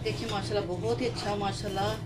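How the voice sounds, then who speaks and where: A woman hosting a home cooking tutorial in her kitchen, talking through each step slowly with frequent hesitations. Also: mid-range voice, steady tone, very noisy. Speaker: cooking channel host.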